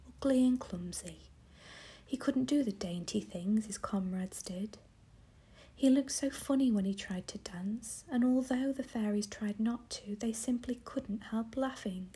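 A woman reading a fairy tale aloud in a soft, unvoiced whisper, like a bedtime story. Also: on the high side, measured pace.

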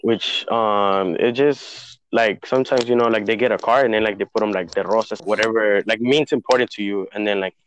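A man's voice: lowish voice, fairly even intonation, brisk, hardly any pauses, muffled recording, slight background noise. Rambling young man from Honduras. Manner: rambling, many fillers, mixes in Spanish words. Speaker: podcast co-host. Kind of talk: casual chat.

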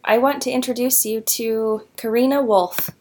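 A woman's voice: on the high side, fairly even intonation, moderate pace. A woman hosting a podcast, introducing the episode and her guest with enthusiasm. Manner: warm, enthusiastic delivery. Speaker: podcast host. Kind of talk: scripted show introduction.

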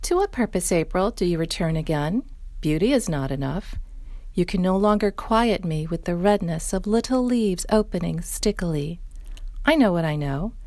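A woman's voice: mid-range voice, a little mellow, no room sound. A woman reading a poem aloud as a recitation. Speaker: poetry reader.

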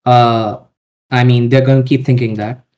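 Mellow-voiced man, hesitant guest. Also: medium pitch, little pitch movement, moderate pace. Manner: hesitant, hedging. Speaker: podcast guest. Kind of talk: casual interview answers.

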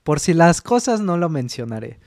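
A young Mexican man with a slightly high voice talks casually into a close mic. His Mexican accent is plain, and he acts out quoted people in lively storytelling. The sound is dry, with no room around it. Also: moderate pace, dry recording. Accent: Mexican Spanish. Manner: chatty storyteller, acts out quoted voices, says "mano" a lot. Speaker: podcast co-host. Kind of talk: casual chat.

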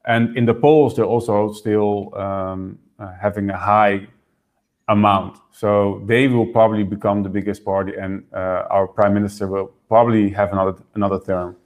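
A man raised in the Netherlands, speaking as an interview guest and explaining politics quickly, often repeating words as he goes. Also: fairly low pitch, flat delivery. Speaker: political party member, guest. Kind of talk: interview conversation.

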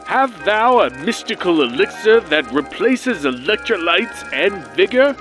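An actor playing a zealous, pompous crusader knight, declaiming in stagey mock-archaic radio play style. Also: male voice, sits high, big pitch swings, a little slow, music in the background, rough recording. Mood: zealous, grand. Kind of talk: parody 1940s radio play acting.

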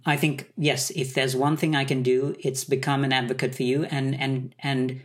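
Conductor guy from sri lanka, thinks it through, mid pitch, measured answers. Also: level tone. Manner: thoughtful, measured, some hesitations. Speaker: conductor, guest. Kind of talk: reflective interview answers.

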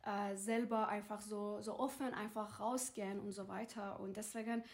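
A woman in her thirties who grew up in Iran and is not a German speaker, speaking haltingly with many fillers as an interview guest. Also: mid-range voice, level tone. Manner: hesitant, searching for words with many fillers. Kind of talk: conversational interview.

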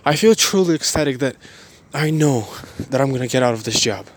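A man of twenty-one giving a motivational personal monologue in a halting, repetitive, emphatic way. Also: medium pitch, expressive.

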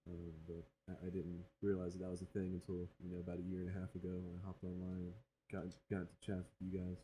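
A young man with a very deep voice co-hosts a laid-back podcast. He talks haltingly, full of ums and false starts, over a faint electrical hum. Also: completely flat delivery, mellow, poor audio. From grew up in northern Iraq. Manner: hesitant, many ums and restarts. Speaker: podcast co-host. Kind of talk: casual podcast chat.

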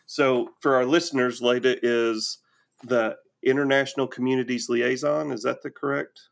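A man hosting a podcast interview, speaking in an unhurried way with halting restarts. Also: mid-range voice, fairly even intonation, creaky. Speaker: podcast host. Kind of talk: conversational interview.

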